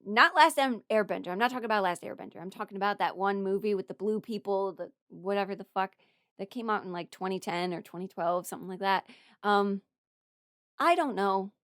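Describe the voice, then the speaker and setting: A young adult woman rambling casually through her opinions as a video creator, expressive and emphatic, blunt but apologetic. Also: medium pitch, no echo, studio quality. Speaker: video creator ranking characters.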